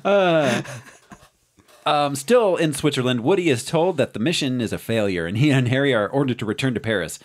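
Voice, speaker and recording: A man's voice: medium pitch, animated, moderate pace. A man co-hosting a casual movie podcast, recapping the plot with frequent laughs and restarts. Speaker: podcast co-host.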